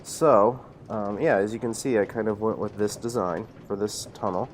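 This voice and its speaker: A man with a slight cold casually narrating a walkthrough of his game builds, relaxed and rambling with lots of fillers and self-corrections. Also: on the low side, normal speed, a little hiss or hum. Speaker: channel host showing his Minecraft server builds.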